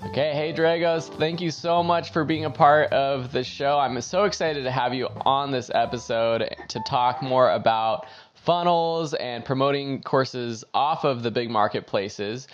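A man's voice: medium pitch, unhurried, few pauses, muffled recording, faint music behind. Eager young man hosting a podcast. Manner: enthusiastic, eager. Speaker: podcast host. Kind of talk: upbeat podcast interview. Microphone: headset and large studio mic on arm.

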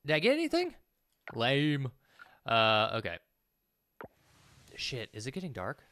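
Bright-voiced man muttering through a game. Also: medium pitch, wildly swooping pitch, unhurried. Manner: muttering to himself, swears casually. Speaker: gaming video host. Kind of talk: casual let's play commentary. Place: small indoor room at home. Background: game music.

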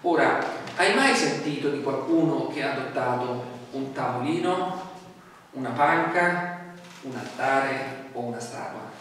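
Man, forties, preaching, rhetorical questions, booming room echo, faint hiss. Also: fairly bright tone. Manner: conversational preaching with rhetorical questions and frequent fillers. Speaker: pastor. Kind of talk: sermon. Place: small church hall, indoors. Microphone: distant camera mic. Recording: echoey hall from far off with faint noise.

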